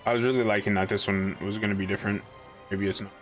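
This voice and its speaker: A man's voice: lowish voice, fairly even intonation, quick pace, faint music behind, low-quality recording. A man casually musing aloud while playing a video game. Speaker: game streamer. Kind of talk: let's play commentary. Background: game audio.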